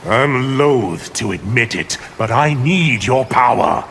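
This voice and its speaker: An actor playing a scheming, power-hungry sorcerer villain in a fighting game, haughty, menacing and contemptuous in formal speech. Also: male voice, medium pitch, expressive, takes it slowly, vocal fry, fairly bright tone, slight background noise, full character voice. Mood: haughty menace.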